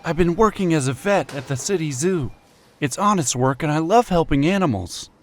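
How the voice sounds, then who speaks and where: Guy acting as a sweet teasing young man, kinda high voice, easygoing, audio drama. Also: animated, normal speed, a little hiss or hum. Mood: friendly, content. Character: affectionate, teasing young man, early-twenties zoo vet.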